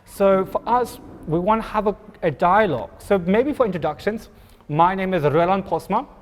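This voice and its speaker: A man from Pretoria with an Afrikaans accent, moderating a public panel talk in a halting way, with many ums and restarts. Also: high voice, long reverb tail. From Pretoria, South Africa.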